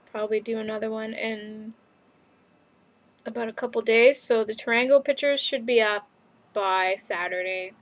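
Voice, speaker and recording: A woman's voice: mid-range voice, gravelly, rough recording. A young woman from Canada chatting casually in a video diary, rambling with lots of ums and little laughs. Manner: rambling, many fillers and laughs. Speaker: vlogger.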